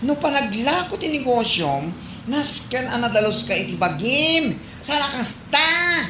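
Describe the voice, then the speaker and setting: A woman's voice: high-pitched, sing-song, measured pace, sharp tone, heavily acted. A woman playing a blunt, fed-up village neighbour in a comedy drama, scolding and exclaiming. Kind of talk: Ilocano comedy drama acting. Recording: echoey room with background noise.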